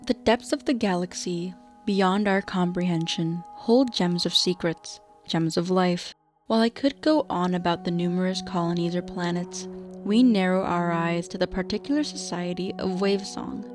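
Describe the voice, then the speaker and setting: A woman narrating a scripted radio drama in a measured storytelling delivery. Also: lowish voice, darkish tone, soft background music.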